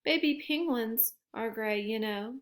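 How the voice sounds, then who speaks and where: A woman voicing a weary, exasperated crayon who complains with drawn-out emphasis in a children's storybook. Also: a little high, lightly acted. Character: whiny, overworked gray crayon, weary and exasperated. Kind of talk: children's storybook read-aloud.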